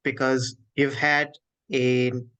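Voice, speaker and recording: A man with an Indian accent conducts a scholarly interview. His pitch sits in the middle of the male range, and he builds his questions formally, in short, deliberate pieces with frequent breaks. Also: little pitch movement, fairly bright tone, clean tone, muffled recording. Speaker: interviewer, host.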